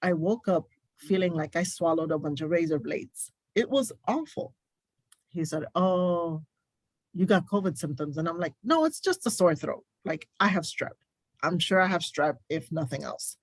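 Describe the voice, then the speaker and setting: A woman's voice: lowish voice, expressive, moderate pace, husky, no room sound. A woman nurse, a guest on a podcast, telling her story in emphatic, detailed, casual talk.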